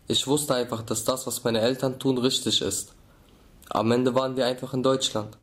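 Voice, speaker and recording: Young guy from the former soviet union telling his life story, average pitch. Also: flat delivery, moderate pace, a bit bright. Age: young adult. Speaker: documentary interviewee. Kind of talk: personal recollection.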